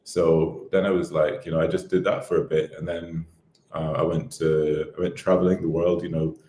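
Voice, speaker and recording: A man in his thirties from Barbados, a podcast guest telling his life story in a relaxed, rambling way with lots of fillers. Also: a bass voice, normal speed, reverberant. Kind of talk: casual interview chat.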